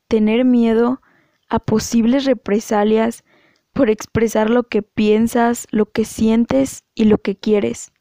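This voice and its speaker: A woman with a Mexican accent giving an earnest, measured testimony as a guest speaker. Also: fairly high pitch, warm tone, no room sound, low-quality recording. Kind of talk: prepared testimony.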